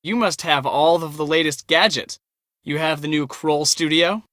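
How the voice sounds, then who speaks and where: Actor as an eager, bright-voiced young storm chaser. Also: male voice, on the high side, normal speed, close to an everyday voice. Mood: enthusiastic. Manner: enthusiastic, casual, confiding. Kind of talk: casual scripted game dialogue.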